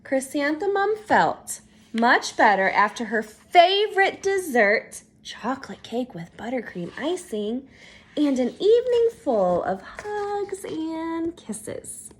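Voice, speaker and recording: Very high-pitched woman reading a storybook. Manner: expressive, dramatic, deliberate teacher-like delivery. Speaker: storyteller reading aloud to children. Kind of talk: children's picture book read-aloud.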